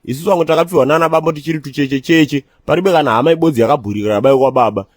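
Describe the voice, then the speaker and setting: A man voicing a cantankerous, streetwise character who rants and rambles argumentatively in slang in an animated comedy. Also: on the high side, very fast, no room sound, studio quality, lightly acted. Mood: ranting. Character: cantankerous, streetwise man ranting comically about his family.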